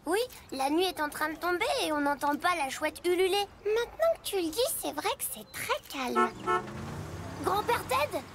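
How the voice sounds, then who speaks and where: Very high-pitched, eager little kid truck. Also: female voice, animated, moderate pace, a little hiss or hum, heavily acted. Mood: puzzled. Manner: childlike, exclamatory. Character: eager, plucky little child mini-truck. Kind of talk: children's cartoon acting. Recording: loud music under the voice.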